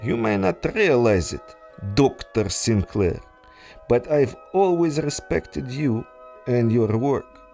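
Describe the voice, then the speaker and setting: Brief: actor doing a smooth mastermind villain, unhurried, theatrical swoops in pitch, soft music under. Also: male voice, big pitch swings, heavily acted. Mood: silky menace. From Russia. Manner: smooth, silky, menacing, slow and deliberate. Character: suave treacherous male scientist villain, exiled aristocrat. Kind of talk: pulp adventure audio drama.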